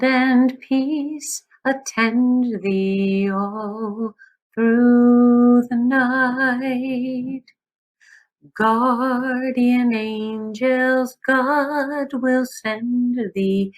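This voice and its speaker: An actress plays a gentle woman singing a lullaby carol in a radio play. Her voice is high and very slow. The recording is a little dull, with soft music behind her. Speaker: actor and singer.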